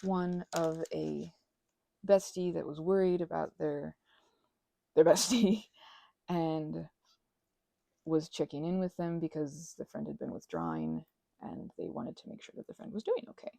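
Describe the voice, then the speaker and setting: A woman with a soft, mellow voice chats casually over her craft work. She sounds breathy and is recorded close and dry. Her talk is gushing and halting, wandering off and restarting mid-thought. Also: on the low side, dry recording. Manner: gushing, halting, self-interrupting. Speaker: hobby ASMR and roleplay audio creator. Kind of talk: casual rambling chat while crafting.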